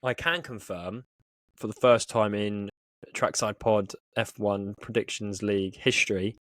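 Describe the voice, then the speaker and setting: A man's voice: on the low side, fairly even intonation, unhurried, fairly bright tone, no echo. Casual male podcast co-host, close dry sound. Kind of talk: casual sports podcast chat.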